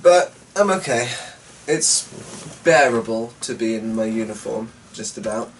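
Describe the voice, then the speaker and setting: A man reading a story aloud and rambling to himself, with hesitant, drawn-out musing and muttered asides. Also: mid-range voice, animated. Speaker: host and story reader. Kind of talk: rambling solo chat and dramatic story narration. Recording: distant mic with faint background noise.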